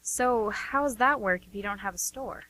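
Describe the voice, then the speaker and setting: A woman's voice: a little high, moderate pace, pushed and bright, rough recording. A woman playing a doting, inquisitive older sister, chatting insistently in an amateur radio drama. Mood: curious, proud. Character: doting, nosy older sister of a grown man.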